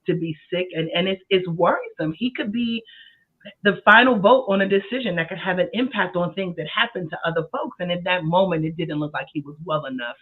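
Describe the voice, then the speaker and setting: A man's voice: high voice, a little fast. A man in his forties, a podcast co-host, talking earnestly and thinking aloud in a casual discussion. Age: 40s. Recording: tinny phone-like sound.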